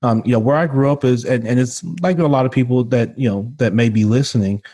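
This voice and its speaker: A man's voice: medium pitch, fast talker, dark tone. A man, talking earnestly as a podcast guest and leaning on "you know" fillers. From Memphis, Tennessee. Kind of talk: casual interview talk. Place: home room. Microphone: studio condenser mic with pop filter.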